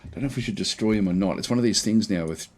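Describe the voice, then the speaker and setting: A man with a croaky voice casually talking through a strategy game, thinking aloud with many fillers and restarts. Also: fairly low pitch, very fast, a little mellow. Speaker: gameplay commentator.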